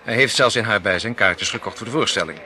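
A man acting in an old radio play, speaking in a measured, suspicious, commanding way. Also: fairly low pitch, bright, forceful tone, slight background noise. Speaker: radio drama actor.

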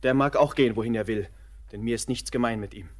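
Moody young drifter played by a man, middle pitch, short defensive answers, radio drama style. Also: fast, natural voice. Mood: defiant, reluctant. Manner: curt, defensive. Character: proud, withdrawn, gloomy young drifter seeking work. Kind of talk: German radio play acting.

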